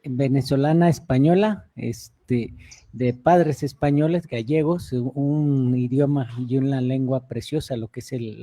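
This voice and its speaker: A man co-hosting a radio show, relaxed and chatty with frequent fillers. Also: mid-range voice. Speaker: radio co-host.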